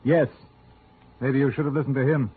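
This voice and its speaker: A man's voice: mid-range voice, fairly even intonation, normal speed, breathy edge, close to an everyday voice. An actor playing a blunt, hard-nosed male investigator in an old radio drama. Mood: stern. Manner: blunt, curt, tough. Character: brusque, hard-nosed American insurance investigator. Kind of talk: 1940s-50s radio drama acting. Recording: tinny, noisy old radio recording.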